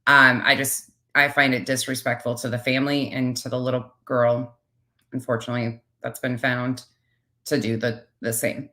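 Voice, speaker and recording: A woman's voice: a bass voice, flat delivery, normal speed, sharp tone. A woman giving casual reaction commentary as a channel host, blunt and sarcastic, with swearing.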